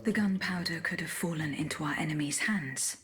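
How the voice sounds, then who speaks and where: A woman's voice: deep voice, fairly bright tone. A woman voicing a composed, dutiful automaton bodyguard in a video game, speaking formally. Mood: guarded, attentive. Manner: measured, formal, earnest. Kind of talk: video game cutscene acting.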